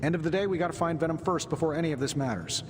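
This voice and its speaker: An actor playing a wisecracking middle-aged inventor superhero in a video game, with a glib, chatty manner. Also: male voice, rapid-fire, lightly acted. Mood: businesslike. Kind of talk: cinematic video game acting. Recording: long reverb, clear rumbling noise.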